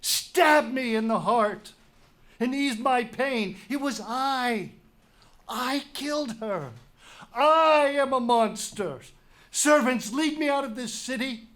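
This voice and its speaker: A man's voice: very high voice, slow, a bit bright, full character voice. An actor playing a proud king crushed by grief and guilt, lamenting in anguish in a dramatic reading of a Greek tragedy. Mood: self-loathing, crushed.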